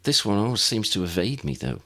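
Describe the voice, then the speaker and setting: A man's voice: on the low side, big pitch swings, brisk, gravelly, a bit bright, full character voice. A man voicing a shy, dejected schoolboy wizard who is polite, unsure and muttering.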